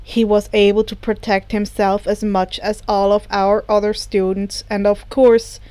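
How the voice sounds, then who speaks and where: Composed adult man, a teacher, performed by a woman, pitched down, formal and measured, audiobook. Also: level tone, a little mellow, smooth, no room sound, close to an everyday voice. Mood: composed, firm. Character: stern adult male teacher, composed, protective.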